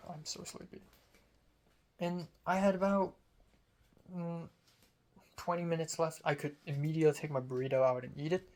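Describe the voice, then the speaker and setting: A young man, a college student, telling a rambling, self-amused story in a casual vlog. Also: fairly high pitch. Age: young adult.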